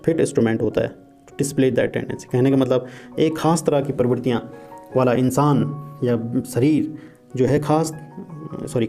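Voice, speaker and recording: A man teaching, reading English lines slowly and explaining each point in Hindi, often asking and answering his own questions. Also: mid-range voice, mellow. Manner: reads English quotes slowly and deliberately.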